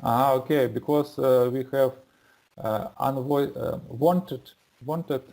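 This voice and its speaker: An English learner with a non-native accent, speaking haltingly in a lesson and repeating words to practise. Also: medium pitch, vocal fry, darkish tone. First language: not English.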